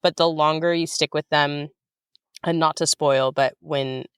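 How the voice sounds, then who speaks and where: A woman's voice: low voice, steady tone, measured pace, clean tone, dry recording, clean studio sound. An American woman chatting casually as an interview guest, enthusiastic and informal, talking in tumbling asides. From United States. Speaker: writer-director guest.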